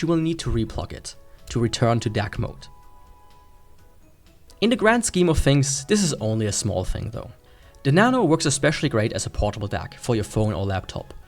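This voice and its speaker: A man with a mid-pitched voice narrates a scripted gadget review. His intonation swings up and down in a lively way as he explains things plainly, with music playing behind him. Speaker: tech reviewer and host.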